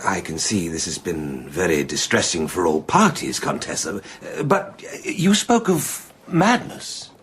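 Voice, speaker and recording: An actor playing a brusque, self-assured society doctor in a period radio drama, dry, formal and clipped. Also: male voice, low voice, sing-song, a little slow, few pauses, a little hiss or hum, heavily acted. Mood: formal, attentive.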